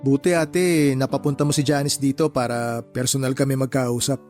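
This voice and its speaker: A man's voice: mid-range voice, fairly even intonation, normal speed, a little mellow, faint music behind, lightly acted. A man playing a considerate, helpful Filipino uncle in a radio drama. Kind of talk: Tagalog radio drama acting.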